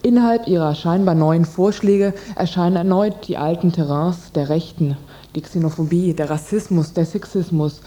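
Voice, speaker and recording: A woman's voice: fairly low pitch, normal speed, dark tone. A woman presenting a scripted radio report and reading translated interview statements.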